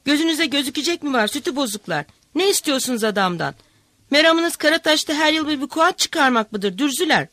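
A woman reading a novel aloud, voicing a rough village man who shouts curses. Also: high-pitched, very animated, fast, no room sound, heavily acted. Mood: furious.

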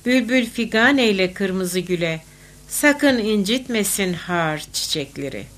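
A woman reciting folk poetry expressively, with measured, declamatory phrasing. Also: medium pitch, lively intonation, a bit bright. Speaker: poetry reader.

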